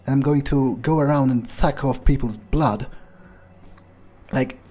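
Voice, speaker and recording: Man roleplaying a husky, mellow, bewildered new vampire. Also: mid-range voice, faint music behind, lightly acted. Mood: uneasy, bewildered. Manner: hesitant, muttering, incredulous, halting repetitions. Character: bewildered, foul-mouthed, irritable newly turned male vampire. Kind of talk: improvised in-character game roleplay.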